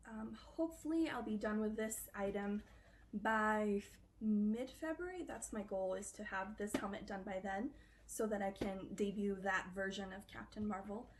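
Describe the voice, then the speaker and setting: Woman, cosplayer interviewee, casual chatty delivery, middle pitch. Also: a little hiss or hum. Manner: chatty, loose, with frequent fillers.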